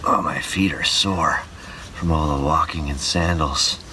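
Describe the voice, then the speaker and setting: Deep-voiced man, casual travel vlog, noisy recording. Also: a little slow. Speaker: radio show host and touring performer filming a travel documentary. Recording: clearly noisy outdoor and vehicle recording.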